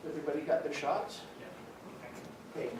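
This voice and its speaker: A man casually directing a group photo at a public meeting, giving quick, informal instructions. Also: on the high side, fairly even intonation. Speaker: official arranging a group photo. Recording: far from mic in a reverberant, noisy chamber.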